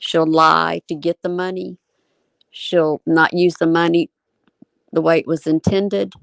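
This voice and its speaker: A woman speaking earnestly and insistently in a measured, unscripted live discussion. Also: on the low side, level tone, creaky, no room sound.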